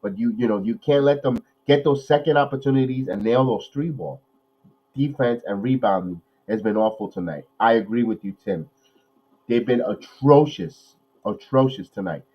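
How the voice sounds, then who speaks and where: A man hosting a live watch-along podcast, nasal from a cold, calling plays excitedly and then talking analysis with many repeats. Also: medium pitch, normal speed, lo-fi sound. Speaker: podcast host.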